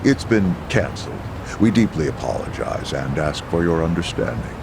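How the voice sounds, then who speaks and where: A businesslike manager from a video game, with a deep voice that moves widely in pitch. He is formal, polite and firm, over rumbling traffic noise. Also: animated, normal speed, creaky. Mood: formal, apologetic. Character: businesslike male care home manager, formally apologetic.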